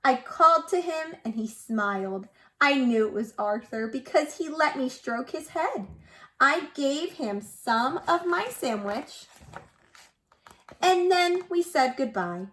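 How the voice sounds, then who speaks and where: A woman's voice: high-pitched, animated, slow pace, fairly bright tone, full character voice. A woman voicing an earnest young girl writing letters in a picture-book read-aloud. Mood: happy.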